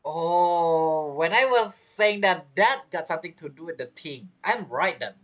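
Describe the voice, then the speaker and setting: Low-voiced woman giving chatty game commentary. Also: expressive, measured pace. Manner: chatty, musing aloud. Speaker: gaming streamer. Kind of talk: casual game playthrough commentary.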